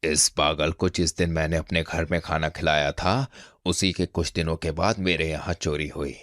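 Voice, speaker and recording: A man's voice: extremely low pitch, steady tone, moderate pace, fairly bright tone, dry recording, studio quality. A man playing a grave male figure in a cartoon horror and folk tale for children. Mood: suspicious. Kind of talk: Hindi cartoon story character acting. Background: music.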